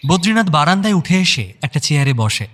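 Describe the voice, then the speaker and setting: A man's voice: a little high, sing-song, fast, a bit bright. A man giving scripted narration for an audio drama. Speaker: story narrator.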